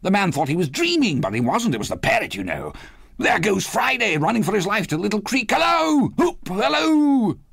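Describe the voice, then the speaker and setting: A man's voice: sits high, huge pitch swings, a little fast, vocal fry, a bit bright, no echo, clean studio sound, voice pushed up, exaggerated voice. A man voicing an old miser in a storybook, exclaiming and gushing with childlike delight. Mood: ecstatic, excited. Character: excitable old miser reliving childhood wonder.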